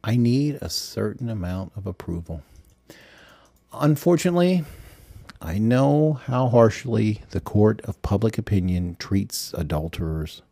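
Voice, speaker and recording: A man's voice: fairly low pitch, big pitch swings, unhurried, gravelly, warm tone, no room sound, exaggerated voice. A man narrating an audiobook as a self-absorbed male government agent, giving a rambling, self-justifying confessional monologue. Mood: brooding, self-justifying.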